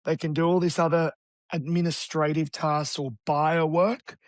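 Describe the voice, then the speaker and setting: Raspy man, brisk and blunt. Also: on the high side, no echo, studio quality. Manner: energetic, blunt, matey ("man"). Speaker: guest real estate agent. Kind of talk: casual interview talk.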